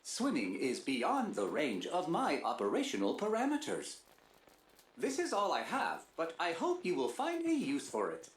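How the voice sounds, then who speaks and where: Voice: mid-range voice, huge pitch swings, normal speed. An actor voicing a polite, formal, slightly fretful robot comrade in a video game, with precise, wordy phrasing. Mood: grateful, formal. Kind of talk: scripted video game voice acting. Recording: roomy echo, faint background noise.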